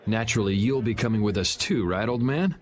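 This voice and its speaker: An American actor playing a cool, wry, self-assured young hero in an anime game dub, speaking in a dry, sardonic way. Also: male voice, lowish voice, animated, fairly quick, some chatter behind, lightly acted. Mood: dryly teasing.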